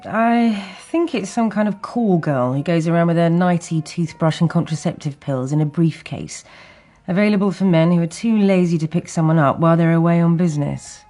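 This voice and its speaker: Female actor as caring policeman's wife, lowish dark voice, frank, intimate radio drama. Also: normal speed, lightly acted. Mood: matter-of-fact. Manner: direct, concerned. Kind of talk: naturalistic radio drama acting.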